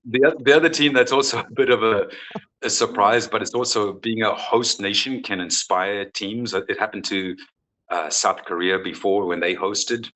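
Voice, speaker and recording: A man's voice: on the low side, fairly even intonation, normal speed, bright, forceful tone, rough recording. A man speaking as a football coach in a conversational interview, thoughtful and often restarting his phrases. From South Africa.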